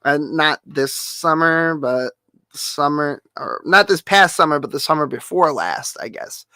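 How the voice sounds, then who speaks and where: A man streamer with a nasal, hoarse voice, rambling through a casual update full of fillers and offhand swearing. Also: a little high, pushed and bright, dry recording. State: hoarse, losing voice.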